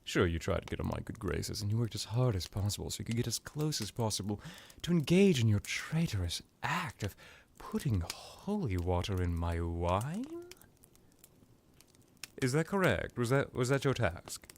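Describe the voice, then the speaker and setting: A man playing a suave, menacing vampire lord in an ASMR roleplay, musing languidly with drawn-out words and condescending affection. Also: fairly low pitch, a little mellow, slight background noise. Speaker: character roleplay performer. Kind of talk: ASMR villain roleplay monologue.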